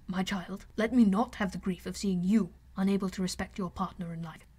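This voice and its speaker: Woman doing an older dad in an audiobook, dry and measured, fond but wry, proper gentleman. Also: mid-range voice, fairly even intonation, breathy edge, close to an everyday voice. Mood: grave, tender. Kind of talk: audiobook character voice.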